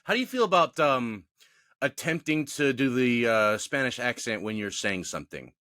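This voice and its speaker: A man with a bright, forceful voice recorded dry and close. He co-hosts a casual podcast and rants about his pet peeves. He stays opinionated while poking fun at himself. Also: medium pitch, normal speed, dry recording. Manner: opinionated, animated, self-mocking. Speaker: podcast co-host. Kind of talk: casual ranting chat.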